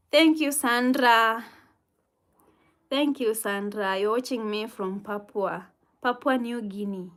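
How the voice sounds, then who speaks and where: A woman with a Kenyan accent hosting a casual livestream, reading viewer comments and giving gentle, unhurried advice with soft laughs. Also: a little high.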